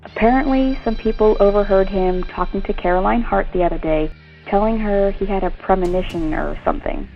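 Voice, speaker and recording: A gossipy nurse played by a woman confides tentatively in an audio soap opera. Her voice is medium in pitch, with faint music underneath. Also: fairly quick, gravelly, highs cut off, lightly acted. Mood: confiding.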